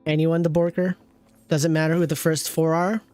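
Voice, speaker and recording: A streamer giving casual, rambling game commentary, teasing and chatting with viewers, in a middle-pitched voice. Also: flat delivery, normal speed, a little mellow, soft background music.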